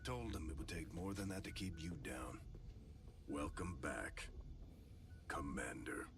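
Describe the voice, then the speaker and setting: An actor playing a gruff, weary, loyal veteran officer in a video game, talking in terse, understated phrases. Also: male voice, very deep voice, expressive, measured pace, full character voice. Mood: relieved, weary. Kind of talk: scripted video game cutscene acting. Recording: loud cinematic music behind.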